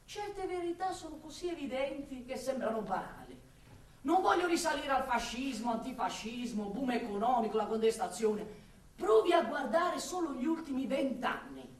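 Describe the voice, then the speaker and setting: A roomy, distant recording carries a high-pitched woman in a theatrical radio monologue. She is an impassioned city dweller, torn between love and exasperation. She declaims, stretching and repeating her words for dramatic weight. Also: animated. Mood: bitter, indignant. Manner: declamatory, with drawn-out words and repetitions. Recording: roomy echo, distant from the mic.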